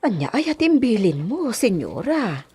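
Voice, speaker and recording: A woman playing a deferential, gossipy servant confidante with a husky voice in a radio drama. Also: a little high, very animated, measured pace, a little mellow, exaggerated voice. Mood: curious.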